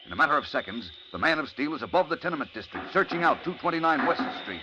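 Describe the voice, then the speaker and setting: A man's voice: a little high, animated, fast talker, natural voice. A man narrating an old radio serial in a dramatic, urgent manner. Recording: muffled, noisy old radio recording.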